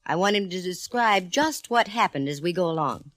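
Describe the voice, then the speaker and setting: An American actress playing a sassy, quick-witted female private eye in a 1940s radio detective drama. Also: lowish voice, expressive, fairly bright tone. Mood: brisk, wry. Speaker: lead actress and narrator. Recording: vintage radio drama recording with music.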